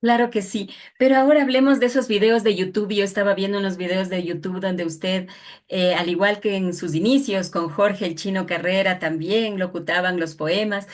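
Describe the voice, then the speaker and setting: A woman's voice: mid-range voice, steady tone, moderate pace. A woman conducting a conversational interview in a courteous, formal manner.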